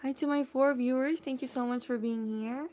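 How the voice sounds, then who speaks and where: A woman's voice: high-pitched, fairly even intonation, moderate pace, a little mellow. A woman in her thirties from the Philippines, chatting casually with viewers on a live stream from home and answering their comments. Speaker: live-stream host, mother.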